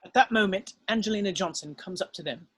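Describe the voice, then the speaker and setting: A man's voice: high voice, quick pace, a bit bright, a little hiss or hum. A man narrating, reading screenplay stage directions aloud at a script table read.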